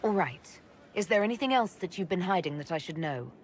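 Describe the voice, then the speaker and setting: Game leader, female actor: steady, reassuring woman, slightly low register, direct delivery, light background noise. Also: brisk, lightly acted. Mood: questioning. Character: calm, fair-minded female leader, resolute and reassuring. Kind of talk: video game dialogue acting.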